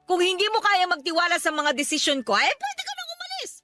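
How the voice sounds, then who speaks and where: A woman's voice: extremely high pitch, huge pitch swings, fast talker, pushed and bright, soft background music, exaggerated voice. A woman acting a domineering older mother in a radio drama, scolding and bossy as she threatens. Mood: stern, threatening.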